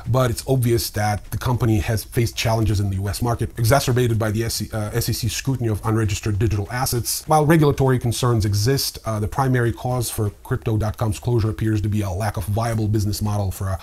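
Husky, low-voiced man in his fifties. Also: steady tone, fast talker, few pauses, a little mellow. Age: 50s. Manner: sardonic, dry humour. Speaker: host of a crypto newsreel. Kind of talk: wry news commentary to camera. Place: small indoor study with bookshelves.

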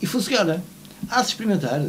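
Brisk Portuguese morning-TV co-host, forties male, self-mocking anecdote teller, quick delivery. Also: high-pitched, a little hiss or hum, low-quality recording. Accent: European Portuguese. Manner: animated storyteller, self-mocking, gestures while talking. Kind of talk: casual chat. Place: small TV studio, seated at desk.

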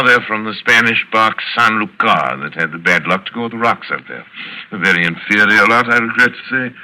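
Radio drama villain: cultured general, theatrical, mock-courteous, very animated, penetrating tone. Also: male voice, fairly low pitch, full character voice, rough recording. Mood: smug, suave. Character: cultured, sinister aristocratic general who hunts men. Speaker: radio actor. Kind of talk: 1940s radio acting. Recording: old radio drama recording.

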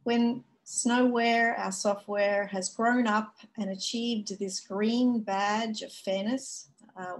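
A woman giving a conference keynote over a video call, explaining in a measured way with frequent fillers. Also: medium pitch, poor audio. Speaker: keynote speaker. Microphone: video call webcam.

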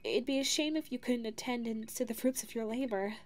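A woman's voice: on the high side, brisk, slight background noise, close to an everyday voice. A woman voicing a sweet, anxious female dog secretary with a crush, speaking hesitantly in an ASMR roleplay. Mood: bright, eager. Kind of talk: ASMR audio roleplay monologue. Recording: echoey reverberant sound with background music. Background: music.

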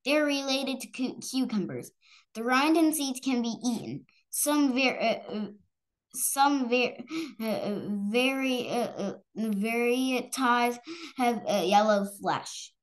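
A woman reading a children's story aloud, haltingly and stumbling over harder words. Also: fairly high pitch, animated.

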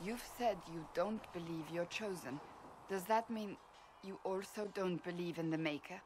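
A woman playing a stern, devout and blunt fantasy warrior in a video game, speaking gravely and deliberately. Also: lowish voice, noisy background, close to an everyday voice. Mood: candid. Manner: grave, forceful, deliberate phrasing. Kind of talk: video game cutscene acting.

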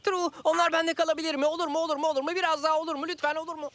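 An actor playing an excitable, self-pleased talking cat in a children's cartoon dub, pleading and gushing theatrically. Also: extremely high pitch, rapid-fire, no room sound. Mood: pleading, excited. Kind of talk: animated children's cartoon dubbing. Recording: loud music behind the voice.

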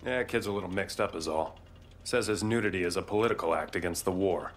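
An actor playing a laid-back American marshal in a video game, folksy, profane and joking. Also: male voice, low-pitched, quick pace. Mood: wry briefing. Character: laid-back wry male bail marshal handing out bounties.

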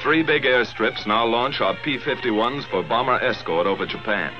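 A man's voice: medium pitch, normal speed. An American man reads a scripted 1940s military documentary narration in a clipped, dramatic, declarative manner. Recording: dull 1940s film soundtrack, loud music. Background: loud film score.

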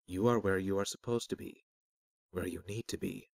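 A man voicing a calm, cryptic disembodied spirit in a fantasy story, soft and measured as he speaks in riddles. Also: low voice, creaky, no echo, clean studio sound. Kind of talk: fantasy audiobook character.

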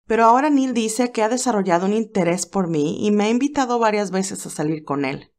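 A woman voicing a cheerful but anxious young nurse who talks in a lively, hesitant way. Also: medium pitch, brisk, close to an everyday voice. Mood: worried.

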